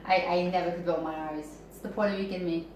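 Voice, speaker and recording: A woman's voice: fairly low pitch, steady tone, fast talker, crowd noise. Chatty woman in an echoey room. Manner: playful, chatty, bantering. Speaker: co-host of book club video. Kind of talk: casual sibling banter. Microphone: camera mic at a distance. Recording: echoey room, distant mic, some noise.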